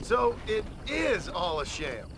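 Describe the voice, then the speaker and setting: A reluctant, wry gunslinger in a western video game. He talks in a dry, laconic, skeptical way, pitched fairly high, over clearly audible outdoor noise. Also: very animated, takes it slowly, heavily acted. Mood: wary, dry.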